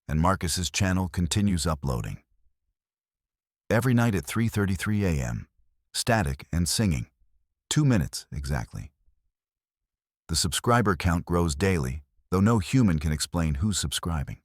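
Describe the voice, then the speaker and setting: A man reading scripted horror stories as a narrator, with a measured, ominous delivery. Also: sits low, lively intonation, dry recording, clean studio sound.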